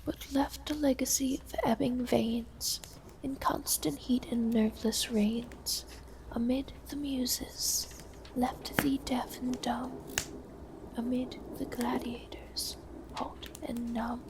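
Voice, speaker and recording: Woman playing a whispering, slow-spoken vampire countess. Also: on the high side, steady tone. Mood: hushed, reciting. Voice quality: soft, breathy whisper. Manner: formal, genteel, old-fashioned phrasing. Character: elegant, lonely vampire countess, gracious and sly hostess. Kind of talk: ASMR roleplay. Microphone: close binaural microphone. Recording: close binaural whisper with audible hiss.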